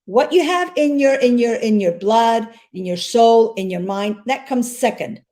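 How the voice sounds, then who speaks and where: An actress from North Africa talks with earnest emphasis, circling back over her words as she builds a point. Her voice sits a little high and sounds soft and mellow, never sharp. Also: animated, measured pace. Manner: earnest, emphatic, repeats words while searching for them. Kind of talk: casual interview conversation.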